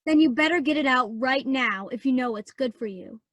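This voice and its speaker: Radio play younger sister: spiteful, snippy, high-pitched, bratty. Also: female voice, expressive, a little fast, fairly bright tone, no room sound. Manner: snippy, scornful.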